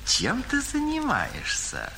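A caliph disguised as a humble wandering dervish in a storybook recording, courteous and curious. He talks slowly in a bright voice that rises and falls widely. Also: very high-pitched, huge pitch swings, vocal fry, slight background noise, exaggerated voice. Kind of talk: audio fairy tale acting.

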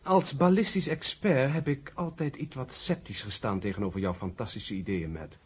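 Very husky, earnest scientific expert. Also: male voice, mid-range voice, moderate pace. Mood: earnest, concerned. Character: earnest male ballistics expert, courteous, troubled but open-minded. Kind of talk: 1970 Dutch radio drama acting. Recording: muffled old radio drama tape.

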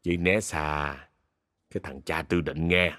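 A man as a low, gruff country bus driver. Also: steady tone, a little slow, bright, forceful tone, dry recording, clean studio sound, exaggerated voice. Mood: gruff concern. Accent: southern Vietnamese. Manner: blunt, folksy. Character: gruff, caring middle-aged bus driver, rustic, blunt.

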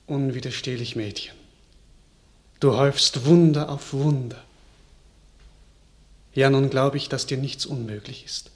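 A man's voice: medium pitch, steady tone, lightly acted. A man playing a stately grateful king. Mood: gracious. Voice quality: stately, measured. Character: grateful, gracious young king. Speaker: actor in a radio play. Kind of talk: classic verse radio drama.

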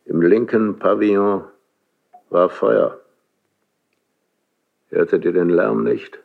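An actor playing a brooding, suspicious, wounded king in classical German verse radio drama, gravelly and husky, with a halting, theatrical delivery. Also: on the low side, steady tone, phone-quality sound, exaggerated voice. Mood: suspicious, restless.

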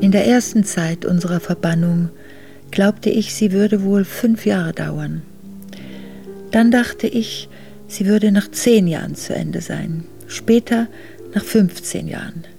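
An ageing Russian princess in exile, looking back tenderly on hard years. Her voice has a gravelly creak and a middle pitch. She speaks in measured, reflective radio drama monologue over faint music. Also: dark tone. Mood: wistful, resigned. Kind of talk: German radio drama monologue, memoir narration.

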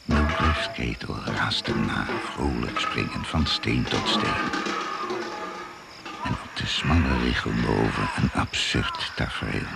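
A man narrating a radio drama in a gravelly, hushed, deliberate and suspenseful manner. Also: very deep voice, lively intonation, over loud music. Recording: noisy old radio play recording.

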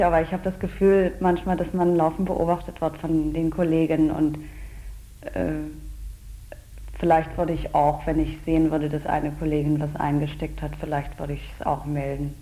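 Low, dark-voiced, musing woman. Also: poor audio. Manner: candid, musing, wavering. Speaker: department store saleswoman. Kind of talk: interview recollection.

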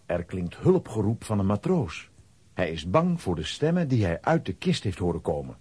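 A man's voice: low voice, very animated, moderate pace, darkish tone, slightly rough, dry recording. A man narrating a children's audio drama in a scripted storytelling style.

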